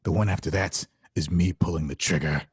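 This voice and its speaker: A man's voice: sits low, fairly even intonation, a little fast, slightly rough, no echo, clean studio sound. A man playing a menacing tough-guy action star from a crummy thriller, in a comedy table read.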